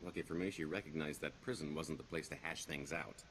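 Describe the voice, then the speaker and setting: An actor playing a roguish, glib smuggler and con artist in a video game, with a wry, theatrical delivery. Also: male voice, very deep voice, steady tone, a little fast, fairly bright tone, a little hiss or hum. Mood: bitter, wistful. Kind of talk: video game character dialogue.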